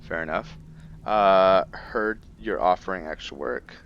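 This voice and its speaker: A man's voice: low-pitched, a little slow, muffled recording, a little hiss or hum. A man giving casual, laid-back roleplay commentary on a video game, talking conversationally as he thinks aloud. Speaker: gaming video host. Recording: game music behind. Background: faint game music.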